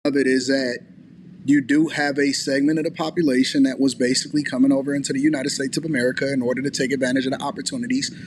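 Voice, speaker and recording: Male commentator, measured and articulate, faint noise behind. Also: mid-range voice, little pitch movement. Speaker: commentator in a played clip. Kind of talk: political commentary.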